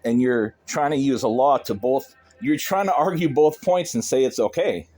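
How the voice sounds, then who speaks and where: A man's voice: medium pitch, normal speed, darkish tone, faint music behind. A man arguing on a phone call as a pet owner confronting a neighbour, in a stern, insistent, lecturing manner. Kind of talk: argumentative phone conversation.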